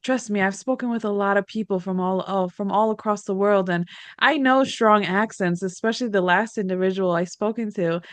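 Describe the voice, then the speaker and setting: A woman from the United States hosting an interview show, lively, chatty and emphatic. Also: mid-range voice, brisk, no echo. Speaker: podcast host. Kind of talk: conversational interview.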